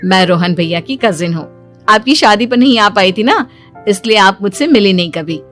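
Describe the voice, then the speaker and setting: A woman's voice: mid-range voice, lively intonation, normal speed, fairly bright tone, soft background music, voice pushed down, lightly acted. A woman voicing a polite, friendly young female cousin in a family story.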